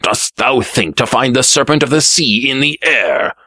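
A man's voice: mid-range voice, lively intonation, normal speed, sharp tone, dry recording, clean studio sound, pitched above the performer's own voice. A man voicing a proud, easily offended fairy-tale prince with indignant, archaic speech.

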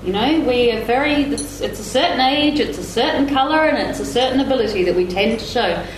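A woman's voice: on the high side, animated, a little fast. A woman giving her view conversationally as a panellist in a live discussion. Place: live festival panel in echoey room. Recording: echoey room, faint noise.

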